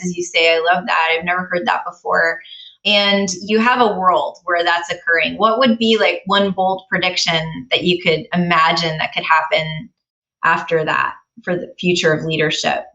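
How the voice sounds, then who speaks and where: Woman host, bright voice, all-in and affirming, asks warm open questions. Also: on the low side, poor audio. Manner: enthusiastic, affirming. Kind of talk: podcast interview.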